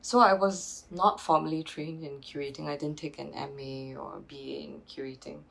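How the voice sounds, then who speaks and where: A woman's voice: low-pitched, animated, normal speed, creaky, fairly bright tone. A woman, a curator answering interview questions reflectively, with many restarts and fillers. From Singapore. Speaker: curator and PhD researcher.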